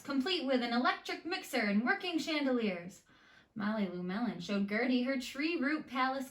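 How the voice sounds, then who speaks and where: A woman with a fairly high voice reads aloud to children like a teacher. Her delivery is expressive, with deliberate emphasis on story words. The room gives her voice a noticeable echo. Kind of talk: expressive picture-book read-aloud with asides. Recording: roomy, echoey indoor sound.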